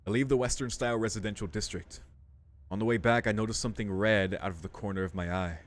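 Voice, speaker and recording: A man's voice: lowish voice, fairly even intonation, quick pace. A young man giving casual playthrough commentary, thinking aloud informally and reading game text out as he goes. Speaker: video game streamer.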